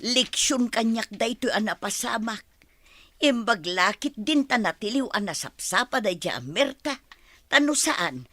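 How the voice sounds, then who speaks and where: A woman's voice: a little high, lively intonation, a little slow, sharp tone, no room sound, exaggerated voice. A woman playing an earnest, chastened wife in a radio drama. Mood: contrite, earnest. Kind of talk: radio drama acting in Ilocano.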